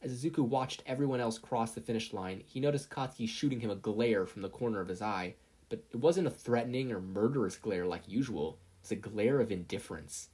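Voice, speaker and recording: A narrator reading scripted fiction aloud in an animated storytelling style. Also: medium pitch, a little fast, breathy edge. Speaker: podfic narrator.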